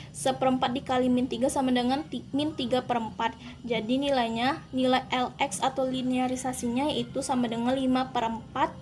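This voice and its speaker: A young woman, a university student, working through calculus problems step by step, pausing to correct herself. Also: sits high, noisy background. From Indonesia. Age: young adult. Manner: methodical, step-by-step, self-correcting. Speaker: university student explaining homework. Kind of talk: calculus walkthrough.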